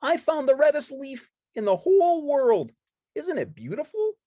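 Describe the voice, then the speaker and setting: Lady doing a happy little puppet boy for a kids book, high and excitable, swoopy, wide-eyed. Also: normal speed, no echo. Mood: bright wonder. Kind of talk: children's storybook read-along character voice.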